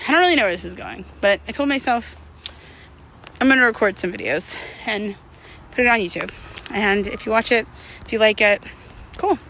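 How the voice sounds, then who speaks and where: A woman's voice: mid-range voice, a little fast, long pauses, fairly bright tone, slight background noise, rough recording. A woman in her thirties talking in a candid, rambling, slangy way, as in a casual confessional walking vlog. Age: 30s. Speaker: vlogger.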